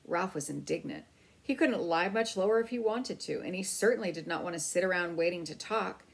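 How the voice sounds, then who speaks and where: A woman reading a children's book aloud as an expressive storyteller. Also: on the low side, brisk, vocal fry.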